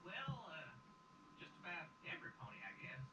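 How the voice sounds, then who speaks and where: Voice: medium pitch, big pitch swings, normal speed, natural voice. An actor playing a nervous, evasive country pony in an audio drama, stammering and hesitant, through distant, echoey, very noisy playback. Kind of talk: fan audio drama acting.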